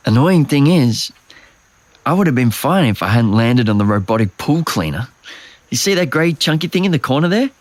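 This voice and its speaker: An actor playing a troubled, self-doubting young man, husky and gravelly, speaking in a casual, self-deprecating way in a naturalistic audio drama. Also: mid-range voice, big pitch swings, normal speed, slight background noise, natural voice. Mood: rueful. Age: young adult.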